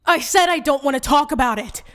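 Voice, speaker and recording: Woman as guarded young daughter, lightly high voice. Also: fairly quick, close to an everyday voice. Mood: defensive. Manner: hesitant, evasive. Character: guarded, hurting young daughter back from absence. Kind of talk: radio play acting.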